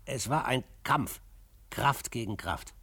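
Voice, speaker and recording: An actor playing an adventurer who narrates his own frontier tale in a measured, literary, dramatic radio style. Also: mid-range voice, gravelly, pushed and bright, husky, no room sound, full character voice. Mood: tense. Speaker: first-person narrator.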